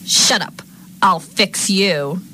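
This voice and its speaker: A woman playing a mean captor in a drama, with a deliberate delivery and falsely sweet menace. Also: a little high, big pitch swings. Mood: menacing. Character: mean woman falsely soothing, then threatening, her captive. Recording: noisy amateur room recording.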